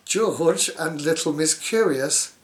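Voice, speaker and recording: A man's voice: fairly high pitch, lively intonation, slow, roomy sound. A man reading a children's story aloud, dropping in sarcastic, mocking asides as he goes. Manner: sarcastic, mock-commentating on the story. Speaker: storybook reader.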